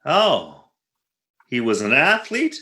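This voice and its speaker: A man's voice: medium pitch, wildly swooping pitch, slow, bright, forceful tone, heavily acted. Flustered radio-comedy husband with swooping inflections, acted by a man. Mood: incredulous, jealous. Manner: flustered, incredulous, wry. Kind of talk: re-enacted 1940s radio comedy acting. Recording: roomy, echoing voice recording.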